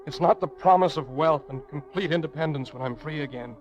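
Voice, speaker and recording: An actor playing a proud, intense young prisoner in an old-time radio drama, speaking in earnest, reflective phrases. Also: male voice, on the high side, brisk, highs cut off, faint music behind, natural voice. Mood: proud resolve. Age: young adult. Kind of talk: old-time radio drama acting, first-person narration.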